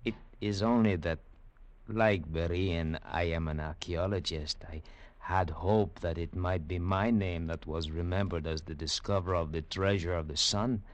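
Casting: actor as a courteous archaeologist, very deep voice, formal and measured, old radio drama. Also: male voice, steady tone, lightly acted. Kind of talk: 1950s radio drama acting.

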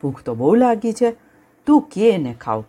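A woman voicing a hungry, bossy male lion who barks gruff, impatient orders in a storybook tale. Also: mid-range voice, very animated, moderate pace, dark tone, voice pushed up.

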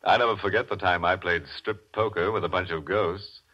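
An actor playing a gleefully morbid, mock-solemn horror host in the style of 1940s radio. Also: male voice, low-pitched, steady tone, brisk. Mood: playful, macabre. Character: ghoulish, gleeful male horror host cracking morbid jokes. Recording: dull old radio recording.

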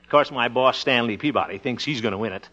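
An actor playing an excitable, boastful but good-natured grown son in a 1950s radio sitcom, hammy and blustery. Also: male voice, medium pitch, fast. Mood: eager, boastful. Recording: dull old radio recording.

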